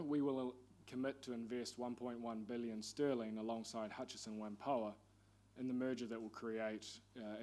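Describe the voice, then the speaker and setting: A middle-aged man with a New Zealand accent, speaking as a panelist at a conference in a measured, formal way. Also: on the low side, dark tone. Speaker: panel speaker, investment executive. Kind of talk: conference presentation.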